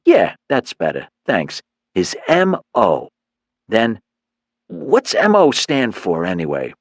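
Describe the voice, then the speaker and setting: A man's voice: lowish voice, huge pitch swings, takes it slowly, dry recording, clean studio sound, voice pushed up, exaggerated voice. Brief: man playing a swaggering, dim gang leader, threatening but easily sidetracked, in an audiobook. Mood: puzzling. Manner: cocky, slangy, menacing. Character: swaggering male thug leader, chewing toothpick, dim but menacing.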